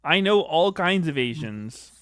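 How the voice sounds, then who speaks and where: A man's voice: on the high side, animated, unhurried, fairly bright tone, clean tone, no echo. A man co-streaming a match, trading dry jokes in casual banter. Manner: dry, joking one-liners.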